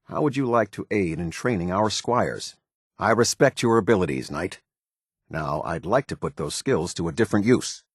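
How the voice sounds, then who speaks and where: A stern American military captain in middle age, speaks in a low, husky voice. He is formal and authoritative, with clipped orders and a pitch that moves widely. The recording is close and dry. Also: expressive, normal speed, no echo. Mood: formal, approving. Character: stern, demanding middle-aged male military officer. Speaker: video game voice actor. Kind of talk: scripted game dialogue, military commander.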